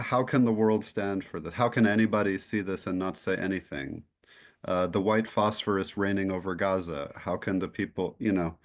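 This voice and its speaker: A man answering as a guest, thoughtful and soft-spoken, searching for his words as he reflects. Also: deep voice. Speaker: guest speaker. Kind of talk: reflective discussion.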